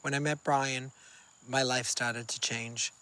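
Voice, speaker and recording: A man's voice: mid-range voice, fairly even intonation, slow, sharp tone, faint music behind. Heartfelt middle-aged man from Massachusetts. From Massachusetts, USA. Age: 50s. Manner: open, heartfelt, reflective. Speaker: interviewee. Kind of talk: personal documentary interview.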